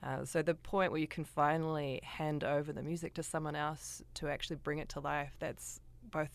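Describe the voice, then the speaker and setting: A woman's voice: low voice, level tone, normal speed, gravelly. A woman composer talking thoughtfully in a radio interview, hesitating with frequent ums.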